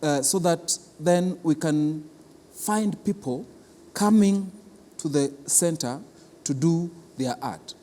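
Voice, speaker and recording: Mellow, higher-pitched Kenyan man in echoing hall. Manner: deliberate, halting, repeats words for emphasis. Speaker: arts institution official being interviewed. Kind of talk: interview answers, explanatory. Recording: long reverberant hall, clear background noise.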